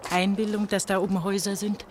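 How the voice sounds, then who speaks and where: A frightened, worn-out young woman on the run played by an actress in a radio play. Her medium-pitched voice is fragile, dreamy and intense, over slight noise. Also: level tone, quick pace, natural voice. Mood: dreamy, sad. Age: young adult. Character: exhausted, frightened young woman fleeing to the West. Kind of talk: German radio drama acting.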